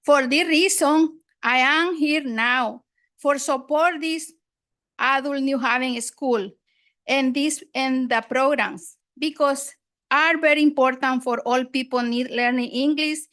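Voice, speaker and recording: A woman from El Salvador with a Salvadoran Spanish accent, a Spanish speaker who reads a prepared public comment at a school board meeting in careful, halting English. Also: high voice, fairly even intonation, a bit bright.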